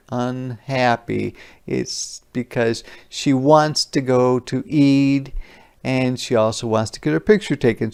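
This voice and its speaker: Guy teacher doing a kids read aloud, slow and clear explaining, bit of vocal fry. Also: medium pitch, a little mellow. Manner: explains words simply, deliberate storytelling. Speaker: teacher reading a picture book aloud.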